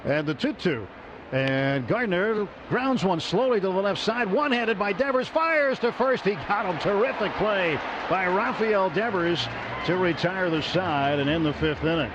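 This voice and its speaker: A man calling baseball play-by-play on the radio, brisk and lifting on big plays. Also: high voice, expressive. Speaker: play-by-play announcer. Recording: muffled radio broadcast with noise.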